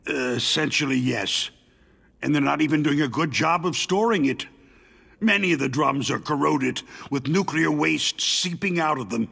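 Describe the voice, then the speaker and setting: A man voicing a wounded, cynical arms company executive in a video game, with a creaky voice and a weary, condescending way of explaining things. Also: a little high, moderate pace, sharp tone, heavily acted. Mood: grim, explanatory. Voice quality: creaky, gravelly.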